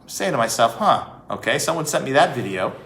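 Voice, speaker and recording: A man's voice: mid-range voice, sing-song, brisk, a bit bright. A man giving opinionated commentary as a vlog host, conversational and emphatic, often leaning on rhetorical questions and repetition. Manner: conversational, often checking in with "okay" and "right". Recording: echoey room.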